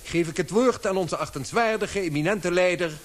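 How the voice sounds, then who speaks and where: A man's voice: sits high, normal speed, lightly acted. A man acting a formal, courteous but firm British society chairman in a radio play, speaking in an oratorical manner. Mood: formal, dry.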